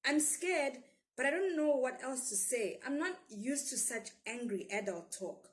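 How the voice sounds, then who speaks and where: A woman's voice: high-pitched, lively intonation, unhurried. A woman with a South African accent reads a children's story expressively as a storyteller.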